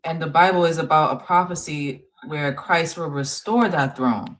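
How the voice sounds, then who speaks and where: Low-voiced woman explaining calmly. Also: moderate pace, rough recording. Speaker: co-host of a religious stream panel. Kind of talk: live-stream discussion.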